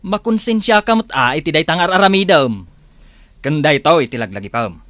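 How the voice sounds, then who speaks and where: A man's voice: a little high, expressive, a little fast, a bit bright, no room sound. A man with an Ilocano Filipino accent playing a reproachful, principled village husband in a radio comedy drama. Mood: admonishing. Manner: admonishing, earnest.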